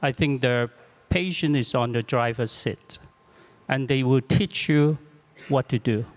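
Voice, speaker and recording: A man in his sixties with a Chinese accent, a clinician on a panel, speaking in a halting, humble and reflective way, often repeating words. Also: mid-range voice, big-room sound. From China. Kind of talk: panel discussion.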